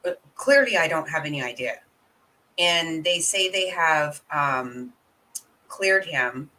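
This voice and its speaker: A woman hosting a casual reaction video, thinking aloud in halting bits with fillers. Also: low voice, animated, sharp tone, rough recording. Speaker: vlog host.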